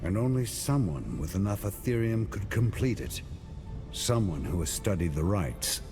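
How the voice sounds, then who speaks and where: A resolute game hero, low in pitch and widely inflected. He is a stern warrior, terse and grave, with music playing behind him. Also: sing-song, normal speed. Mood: grave concern. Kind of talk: dramatic video game cutscene acting.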